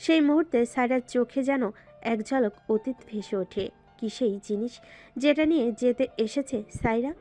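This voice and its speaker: High-voiced woman narrating romance, music behind. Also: moderate pace, mellow. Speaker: story narrator. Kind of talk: dramatic scripted romance narration.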